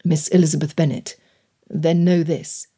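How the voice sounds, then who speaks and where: A woman voicing an earnest young gentleman suitor in a period romance audiobook, speaking formally and solemnly. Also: deep voice, flat delivery, moderate pace, breathy edge, voice pushed down.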